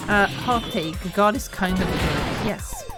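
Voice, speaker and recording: Woman, relaxed gaming streamer, lilting delivery, game music underneath. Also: medium pitch. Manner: chatty, rambling, quick to laugh. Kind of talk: casual gaming stream chat.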